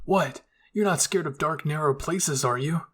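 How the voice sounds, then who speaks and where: Male narrator as fiery teen soldier in anime fan fiction, higher-pitched, indignant. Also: measured pace, a bit bright, husky. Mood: annoyed. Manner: indignant, impatient, incredulous. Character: hot-headed, emotional teenage soldier boy. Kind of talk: fan fiction audio roleplay.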